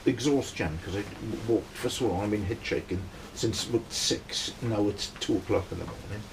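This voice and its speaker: A man's voice: fairly low pitch, moderate pace, vocal fry, noisy background. A middle-aged Welsh man telling a long personal story in a casual, rambling way, checking in with his listeners as he goes. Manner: rambling, keeps checking in with "right?". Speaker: band member telling a story.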